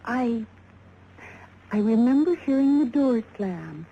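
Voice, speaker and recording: An actress playing a shaken but scornful young fashion model in a 1950s radio drama, with hesitant, dramatic pauses. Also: female voice, a little high, expressive, vocal fry, phone-quality sound, full character voice. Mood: shaken, subdued. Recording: faintly noisy vintage radio recording.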